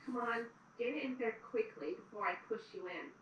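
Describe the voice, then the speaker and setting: A woman's voice: on the high side, animated, normal speed, lightly acted. A woman playing a harsh, bossy castle jailer in a fantasy audio drama, curt and scornful. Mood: scornful, impatient. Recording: very echoey, muffled, faint noise.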